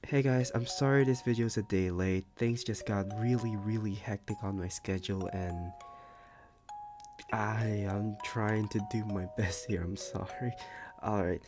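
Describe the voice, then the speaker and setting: A man's voice: on the low side, normal speed, over music. A man talking casually and informally to his viewers as a channel creator, in a rambling way. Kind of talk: casual outro chat to viewers.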